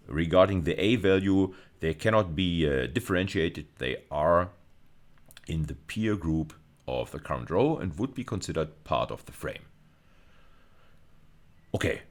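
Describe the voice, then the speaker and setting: A man giving an explanatory university lecture, methodical and repeating phrases for emphasis. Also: rumbling low.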